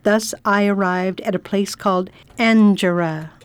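A woman's voice: mid-range voice, steady tone, unhurried, smooth, dry recording, lightly acted. A woman playing a genteel English lady traveler. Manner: prim, refined and inquisitive. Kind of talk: first-person narration and radio drama acting.

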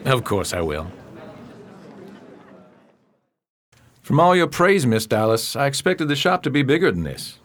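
A man's voice: mid-range voice, very animated, a little fast, a bit bright. A man playing a courteous, observant private investigator in a radio drama, polite and inquisitive. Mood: curious, guarded.

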